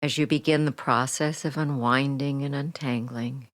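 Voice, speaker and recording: A woman leading a guided meditation as a hypnotherapist, speaking soothingly and gently with deliberate pauses, her soft phrases trailing off toward a near whisper. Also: rumbling low, steady tone, dry recording. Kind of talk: guided meditation, relaxation script.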